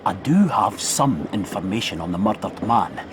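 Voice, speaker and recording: A man's voice: lowish voice, animated, moderate pace, lightly acted. An actor playing a deferential local man passing on case details in a gossipy, hesitant way, in an audio drama. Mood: gossipy, careful. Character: deferential local male informant on a murder case. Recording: clearly noisy outdoor background.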